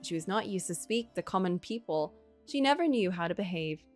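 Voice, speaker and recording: A woman game streamer reading a visual novel aloud in an expressive storytelling way, with casual asides. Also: lowish voice, brisk, soft background music.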